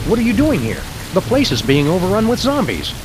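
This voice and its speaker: An actor voicing an earnest, unflappable dog detective with an American accent and a wordy, mock-official delivery for an animated comedy game. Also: male voice, sits high, brisk, heavy background noise. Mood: curious. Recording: loud music and rain behind.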